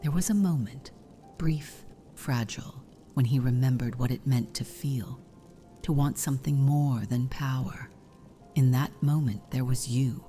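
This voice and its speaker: A very low, dark-toned vampire mother voiced by a woman speaks gravely and formally, often close to a whisper. She is a solemn figure from a fantasy game roleplay, with music around her measured words. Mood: grave confiding. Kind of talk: scripted fantasy roleplay dialogue.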